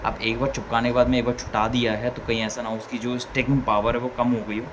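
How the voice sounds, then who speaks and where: A man's voice: mid-range voice, level tone, fast talker. A man giving a casual hands-on tutorial as a vlogger, informally thinking aloud step by step. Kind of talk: casual hands-on tutorial chat in Hindi mixed with English words. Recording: clearly noisy background ambience.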